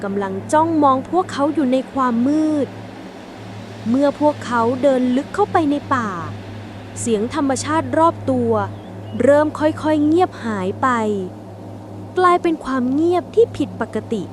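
A woman's voice: high-pitched, lively intonation, unhurried, slight background noise. A woman reading a scripted suspense bedtime story as a storyteller.

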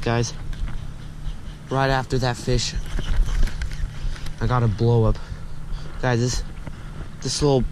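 A young American man giving casual, informal fishing vlog commentary to his viewers. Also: medium pitch. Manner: informal, addressing viewers as guys. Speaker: fishing vlogger. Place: outdoors beside a grassy pond. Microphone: body-worn action camera. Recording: noisy outdoor action-camera audio. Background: clear outdoor ambient noise.

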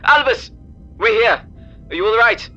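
A playful, mocking adventurer, played by a man, with a piercingly bright, animated voice. He teases in drawn-out, exaggerated phrases over faint music. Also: very high voice, dull audio, heavily acted. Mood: excited, concerned. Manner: teasing, exaggerated delivery. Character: mocking, excitable, playful male adventuring companion. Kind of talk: comedic fantasy audio roleplay.

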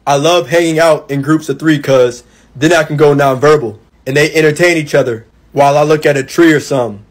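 Higher-pitched man, dry casual humour. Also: a little fast. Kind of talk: casual confessional talk.

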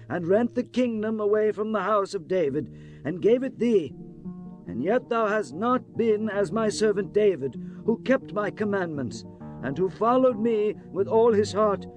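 An old prophet in an audio scripture drama, stern and solemn. His voice sits high for a man as he declaims doom gravely. Music runs underneath. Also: a little mellow. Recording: music bed behind the voice.

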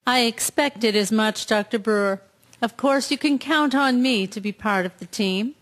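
Woman playing wartime army nurse, radio play, slightly high voice, composed, formal, dutiful. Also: moderate pace, lightly acted. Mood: polite, assured. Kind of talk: radio drama acting.

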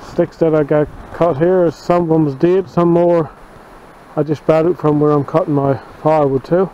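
A man chats in a plain, folksy, unhurried way. His voice sits a little high but sounds mellow and soft-edged. He is out in snowy woods on a wearable camera, with faint outdoor noise behind him. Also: steady tone, highs cut off. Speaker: bushcraft vlogger. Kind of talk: casual outdoor narration.

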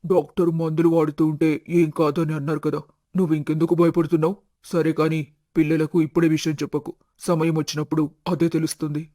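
A man voicing a calm, stoic older husband and father in an animated family story, unruffled and matter-of-fact. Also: on the high side, a little fast, close to an everyday voice. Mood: reassuring, secretive.

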